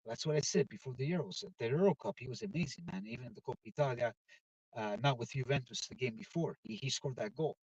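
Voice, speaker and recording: A man hosting a casual live football chat from inside a car, talking loudly and excitedly and dropping Italian football phrases into his talk. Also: medium pitch, fast talker, slightly rough, no room sound. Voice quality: loud voice. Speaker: live-stream football show host. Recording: dull, distant, dry car recording.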